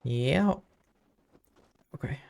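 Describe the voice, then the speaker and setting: A woman's voice: rumbling low, expressive, extremely slow, creaky, darkish tone. A woman giving casual, dry and offhand commentary as she streams a video game.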